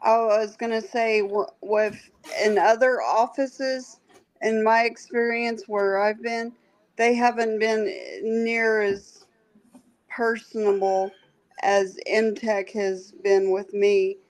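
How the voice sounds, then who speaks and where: A woman guest on a podcast, talking in a slow, halting way with many restarts. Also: on the high side, little pitch movement, low-quality recording. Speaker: guest, pest control safety director. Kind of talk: casual podcast chat.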